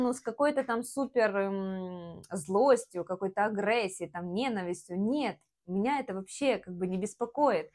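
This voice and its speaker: A young Ukrainian woman in her twenties, a vlogger, talking frankly to the camera in her kitchen on a lapel mic, with a Ukrainian accent and lots of fillers. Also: on the high side. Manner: frank, ranting and gesturing, many fillers. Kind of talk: casual talk to camera. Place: home kitchen, indoors.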